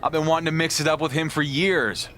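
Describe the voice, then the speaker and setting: An actor playing a confident, easygoing champion wrestler in conversational video game dialogue. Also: male voice, on the high side, steady tone, a little fast, bright, forceful tone, slight background noise. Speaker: pro wrestler.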